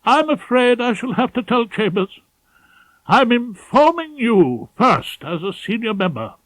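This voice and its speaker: A man's voice: very high voice, very animated, a little slow, no echo, pitched above the performer's own voice, heavily acted, rough recording. A man voicing a pompous, prim, scandalised middle-aged male head barrister in an audiobook, with formal and hesitant phrasing. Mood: prim, grave. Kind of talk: audiobook character voice.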